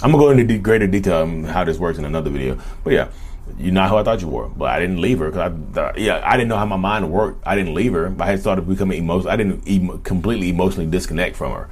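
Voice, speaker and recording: A man's voice: low voice, quick pace, a little hiss or hum. A man in his thirties talking casually and emphatically to his viewers, with slangy phrasing and a habit of repeating himself. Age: 30s. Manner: animated, repetitive and emphatic, slangy, acts out imagined dialogue. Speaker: YouTube host speaking about his own narcissism. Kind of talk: casual direct-to-camera talk.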